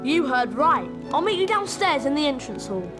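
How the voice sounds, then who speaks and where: Excitable young British wizard boy for a game: very high, animated, blunt, cheeky, loud score underneath. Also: normal speed. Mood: casual, cheeky. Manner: blunt, complaining, casual. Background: loud music.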